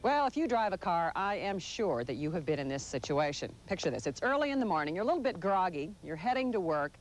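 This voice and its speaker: A woman's voice: fairly low pitch, sing-song, quick pace, low-quality recording. An American woman narrating a scripted television magazine feature as its reporter, playful and tongue-in-cheek with dramatic touches. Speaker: TV magazine show host and reporter. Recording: 1980s TV broadcast, music underneath.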